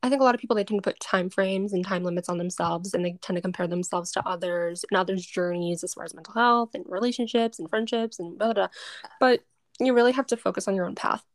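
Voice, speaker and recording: A woman in a casual chat, quick with affirmations and supportive remarks. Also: medium pitch, no echo.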